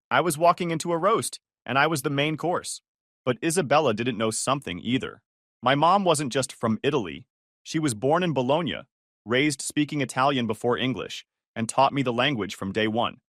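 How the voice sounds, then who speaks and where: A man tells his own story with wry sarcasm. His pitch rises and falls a lot as he speaks. The recording is close and dry, with no room sound. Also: fairly bright tone, no room sound. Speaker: narrator. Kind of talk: first-person story narration.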